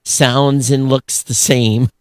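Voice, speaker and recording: A man's voice: medium pitch, level tone, slow, darkish tone, clear, resonant tone, no echo, studio quality. An American man chatting casually and unscripted as a podcast co-host, in a rambling, conversational way. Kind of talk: casual unscripted show chat.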